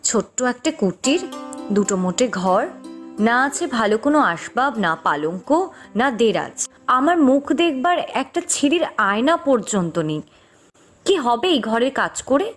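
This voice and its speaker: A woman's voice: on the high side, sing-song, normal speed, soft background music. A woman voicing a vain, lazy young village daughter in a cartoon, whiny, petulant and dismissive. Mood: sulky, complaining.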